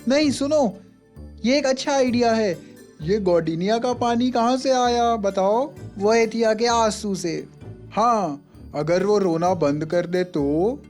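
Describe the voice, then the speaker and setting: A woman's voice: medium pitch, slow pace, a little mellow, soft background music, close to an everyday voice. A woman voicing an eager, scheming young boy hero in a cartoon dub, enthusiastic and sure of himself. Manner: enthusiastic, self-assured.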